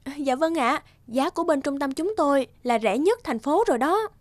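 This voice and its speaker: A courteous female agency receptionist played by an actress in an animated tale. She speaks in a high, brisk, formal service voice with music playing behind her. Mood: polite, businesslike. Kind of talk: animated moral-tale voice acting.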